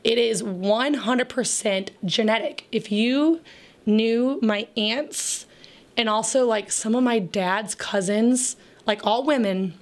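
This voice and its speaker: Raspy-voiced woman chatting casually. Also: on the high side, slow. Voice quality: raspy, crackly voice. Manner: chatty, rambling, with frequent fillers and laughs. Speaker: homemaking vlogger. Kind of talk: casual voice-over chat.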